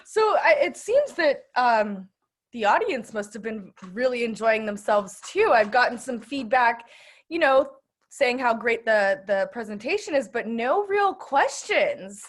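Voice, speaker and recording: Informal woman host, slightly high voice. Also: big pitch swings. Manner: informal, halting, appreciative. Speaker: host of an online bookstore event. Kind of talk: casual event hosting with questions.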